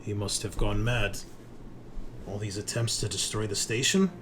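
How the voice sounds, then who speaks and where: A man's voice: lowish voice, normal speed. A man acting as game master, narrating a tabletop roleplaying game in an improvised way. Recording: noisy room with steady background ambience.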